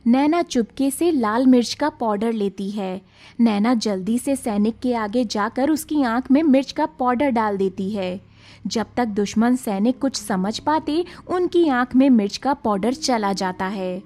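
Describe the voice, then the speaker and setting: High-voiced woman narrating a story. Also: lively intonation, measured pace, dark tone.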